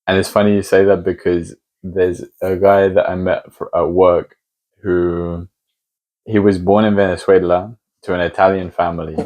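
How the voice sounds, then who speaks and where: A man's voice: low voice, little pitch movement, moderate pace, darkish tone. A man hosting a podcast, asking long, thoughtful hypothetical questions in a casual conversation. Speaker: podcast host.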